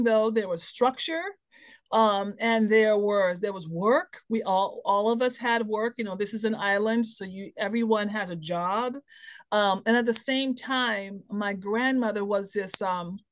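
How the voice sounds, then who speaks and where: Lady guest on a podcast, bit high voice, chatty storyteller, dry close sound. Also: a little slow, no echo. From Bahamas. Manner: lively storyteller, frequent "right?" and "you know". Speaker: guest, human potential expert. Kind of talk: podcast interview, personal storytelling.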